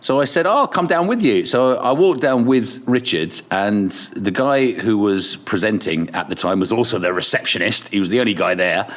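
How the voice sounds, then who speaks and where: Man, English accent, middle-aged, low voice, laid-back chatty storyteller. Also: moderate pace, hardly any pauses. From Ireland. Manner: relaxed, chatty storyteller, swears casually. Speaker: podcast guest, radio presenter. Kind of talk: casual chat.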